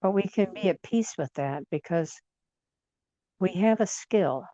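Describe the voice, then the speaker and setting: A woman leading guided imagery in a soothing, gentle manner, leaving long pauses between phrases. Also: medium pitch, expressive, dry recording, muffled recording. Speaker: guided meditation leader.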